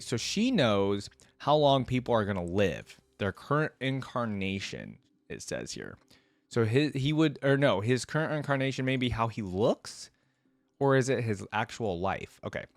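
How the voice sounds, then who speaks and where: A man hosting a reaction video, reading a story aloud and thinking out loud in rambling, casual commentary. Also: lowish voice, no echo.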